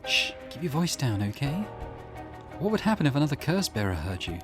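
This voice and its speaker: A man reading the part of a calm, serious, cautious teenage schoolgirl in a visual novel, measured and matter-of-fact. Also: medium pitch, faint music behind, natural voice. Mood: grave, composed.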